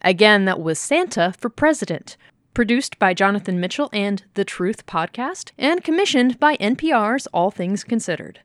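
Animated female host, close dry sound. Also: medium pitch, normal speed, no room sound. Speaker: show host. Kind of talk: scripted introduction narration.

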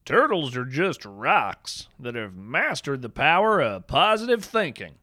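A man giving a scripted, whimsical nature narration in a folksy, playful tone with deadpan absurd humor. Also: medium pitch, a little slow, no echo, studio quality. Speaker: podcast narrator.

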